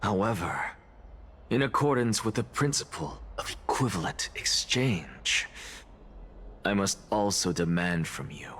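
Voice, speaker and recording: An actor playing an arrogant, theatrical professor obsessed with truth, lecturing grandly and deliberately in a video game. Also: male voice, low-pitched, expressive, fairly bright tone, breathy edge, exaggerated voice. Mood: cool, bargaining. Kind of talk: dramatic video game voice acting.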